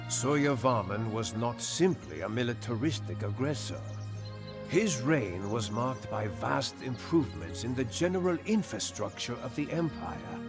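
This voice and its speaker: Expressive male game narrator over music. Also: mid-range voice. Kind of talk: scripted dramatic storytelling narration for a historical strategy game. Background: background music.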